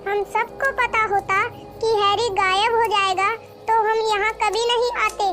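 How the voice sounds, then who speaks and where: A woman as squeaky, very high cartoon girl. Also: fairly even intonation, a little slow, background noise. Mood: earnest. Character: worried young woman lost in haunted forest. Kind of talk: Hindi cartoon horror story acting. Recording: loud music over the voice.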